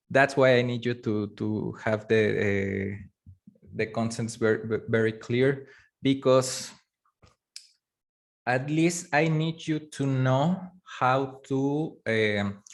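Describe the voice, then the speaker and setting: A man whose first language is Spanish, teaching a live online course, talking in a halting way with many fillers and restarts. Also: medium pitch. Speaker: course instructor. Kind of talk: live online class lecture.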